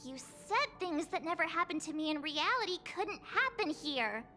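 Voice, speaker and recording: A woman voicing a perky, expressive teenage girl adventurer in a video game, bubbly and exclamatory. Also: very high-pitched, normal speed, heavily acted. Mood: pained, indignant. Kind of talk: animated game voice acting. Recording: loud game music behind.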